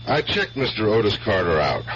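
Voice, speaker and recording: An actor playing a wry, suspicious American police detective and protective older brother in a 1970s radio drama, with a dry, teasing delivery. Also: male voice, on the low side, very animated, moderate pace. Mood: suspicious, probing. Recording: dull, faintly noisy old radio broadcast.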